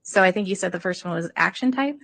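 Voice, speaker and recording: Soft-spoken woman with a gravelly voice. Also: mid-range voice, expressive, fast. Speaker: prosecutor. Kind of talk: courtroom questioning. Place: courtroom.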